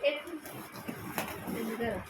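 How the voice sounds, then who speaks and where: A woman chatting casually with family in a very noisy home video. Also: takes it slowly. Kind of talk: casual family chat while unpacking luggage. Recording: very noisy home video, background room bustle.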